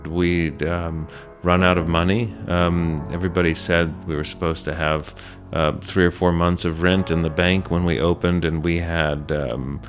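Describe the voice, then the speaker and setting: Business-owner interviewee, male, low deep voice, conversational and halting, light music underneath. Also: level tone. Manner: halting, repeats words. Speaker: ice cream shop owner, interview guest. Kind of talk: casual interview storytelling.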